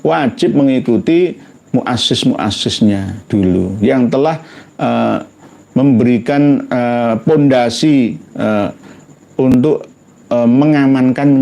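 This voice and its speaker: Man, Javanese accent, mid-pitched, relaxed dark tone, conversational religious commentary, halting delivery. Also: gravelly. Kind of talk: informal religious talk.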